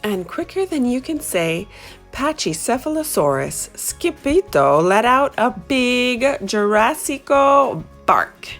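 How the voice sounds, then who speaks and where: Animated woman reading a picture book. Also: on the high side, takes it slowly, soft background music. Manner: animated, expressive. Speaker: children's book reader. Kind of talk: storybook read-aloud.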